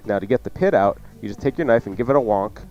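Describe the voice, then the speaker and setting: A man's voice: fairly low pitch, expressive, fast talker, darkish tone, faint music behind. A man hosting a radio cooking show, explaining recipes in a casual, folksy, jokey way. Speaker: cooking show host and chef. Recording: dull lo-fi radio recording.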